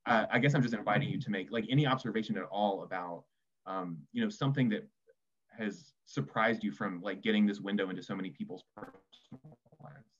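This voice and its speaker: A man's voice: fairly low pitch, a little fast. A man moderating a panel conversation, phrasing his open questions informally as he explores an idea. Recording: slightly dull, lo-fi audio.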